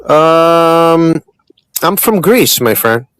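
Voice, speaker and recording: A man's voice: a little high, lively intonation, extremely slow, a crowd in the background. A man in a casual group chat, boasting and ranting with heavy profanity.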